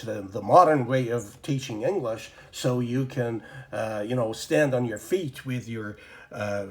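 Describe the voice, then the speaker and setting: A man's voice: mid-range voice, darkish tone. A man whose first language is Persian, teaching an informal English grammar lesson from his desk, rambling with many fillers and muttering to himself as he draws. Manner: rambling, lots of fillers and self-talk while drawing.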